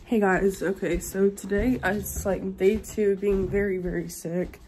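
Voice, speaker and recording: A young woman, hoarse and congested from being sick, chatting tiredly in a home vlog. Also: steady tone, measured pace, background noise. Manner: tired, low-energy, chatty. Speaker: vlogger. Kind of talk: casual vlog chat. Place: at home indoors.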